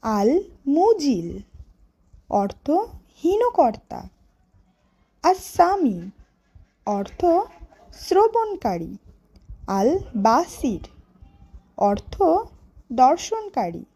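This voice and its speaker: A woman teaching a lesson for children, reciting deliberately and instructively, word by word. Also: high voice, sing-song, dark tone. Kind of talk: children's educational lesson, reciting names with meanings.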